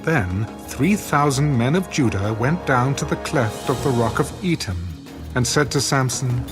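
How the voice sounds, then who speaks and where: A man narrating a dramatised scripture reading in a solemn, measured and dramatic way. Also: mid-range voice, lively intonation. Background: music.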